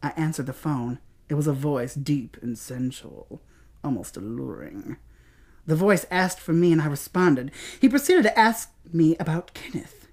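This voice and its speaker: Low-voiced American woman, dramatic spoken-word storyteller. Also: expressive, darkish tone. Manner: theatrical, with dramatic pauses and emphasis.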